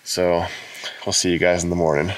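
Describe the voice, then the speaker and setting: A man's voice: low voice, moderate pace, background noise. A young man chatting casually about his camping setup while filming outdoors at a forest campsite on a handheld camera. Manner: relaxed, chatty explaining. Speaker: vlogger.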